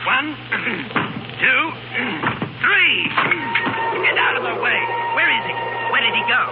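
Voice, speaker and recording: Brusque male cop, 1930s radio thriller. High-voiced, animated, barking commands, muffled archival sound. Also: slow pace, heavily acted. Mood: urgent, barking. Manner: barking orders. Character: brusque, bossy male police officer. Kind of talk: 1930s radio drama acting. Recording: muffled 1930s radio recording, loud music behind.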